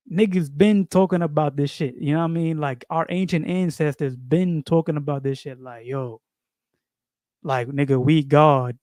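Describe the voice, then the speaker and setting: Give me a man, rambling casually about spirituality, full of fillers, fairly high-pitched, recorded dry and close. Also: darkish tone, no echo. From New York. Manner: rambling, repeats phrases, frequent fillers and slang. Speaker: video creator.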